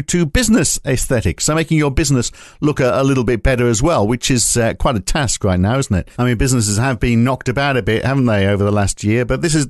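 A man's voice: mid-range voice, dry recording, clean studio sound. Wry man hosting a podcast. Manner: wry, sardonic, conversational. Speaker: podcast host. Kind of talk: opinionated commentary and interview.

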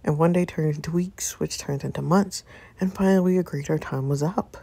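A woman voicing a grieving young wife in first-person story narration, halting, hushed and anguished. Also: low-pitched, vocal fry, husky, no echo, heavily acted. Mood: numb regret. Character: grieving young wife confessing pain and regret.

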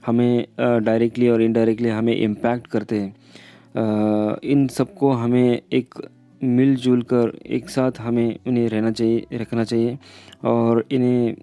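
A man who is an English lecturer, explaining a textbook chapter in Hindi. He reads the English lines slowly, then explains them in quick Hindi. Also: medium pitch, dark tone, no echo. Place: voice-over on a scrolled textbook page.

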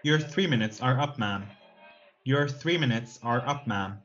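A man's voice: medium pitch, animated, unhurried, fairly bright tone. A businesslike male telephone operator played by an actor in a radio drama speaks in a detached, formal way. The sound is muffled, with faint music underneath. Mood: detached, insistent. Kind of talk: radio play acting.

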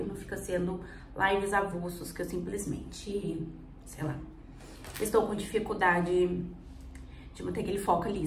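A woman in her twenties with a cold, chatting casually to her viewers while she does her makeup, rambling and asking herself questions. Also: mid-range voice. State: has a cold. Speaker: vlogger. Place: small indoor room. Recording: roomy, slightly distant mic, faint hum.

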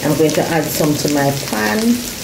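A woman's voice: low voice, lively intonation, a little slow, vocal fry, background noise. A woman talking viewers through her cooking in a home kitchen, often repeating herself. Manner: talks to viewers while cooking, repeats phrases. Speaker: cooking channel host. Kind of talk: casual cooking narration. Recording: echoey kitchen with frying sizzle.

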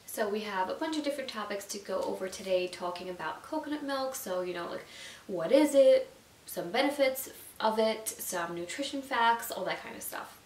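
A woman's voice: mid-range voice, lively intonation, moderate pace. A young woman with a slightly nasal, stuffy voice, chatting casually to camera about nutrition, full of "like" and "you know.". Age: young adult. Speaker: YouTube nutrition vlogger. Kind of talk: casual explainer talking to camera. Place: indoors at home, seated on a sofa. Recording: roomy echo, distant mic, faint hiss.

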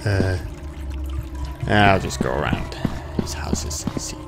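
A man's voice: sits low, lively intonation, slow. A man giving casual let's-play commentary, muttering asides and bursting into sudden exclamations, as host of a gaming video. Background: game music and sound effects.

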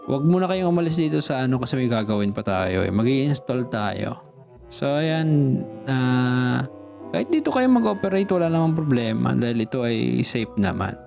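Gravelly Filipino man over background music. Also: mid-range voice, big pitch swings, a little fast, a little mellow, muffled recording. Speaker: tutorial host. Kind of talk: casual step-by-step screen-reader tutorial. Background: music and screen-reader speech.

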